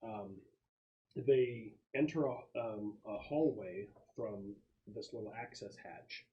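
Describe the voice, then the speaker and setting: A man improvising narration as game master of a tabletop game, halting as he thinks aloud. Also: lowish voice, mellow, highs cut off.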